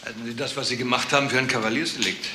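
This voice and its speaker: An actor playing a sober, authoritative police detective in a German crime radio drama, terse and matter-of-fact. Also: male voice, medium pitch, fairly even intonation, very fast, pushed and bright, a little hiss or hum. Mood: stern.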